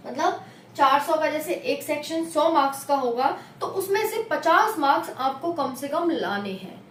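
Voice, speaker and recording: High-voiced Hindi coaching teacher, echoey room. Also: female voice, animated, normal speed, a bit bright, a little hiss or hum. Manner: repeats checks like "clear?" and addresses viewers as companions. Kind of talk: explaining exam rules at a whiteboard. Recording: echoey room, recorded from a distance.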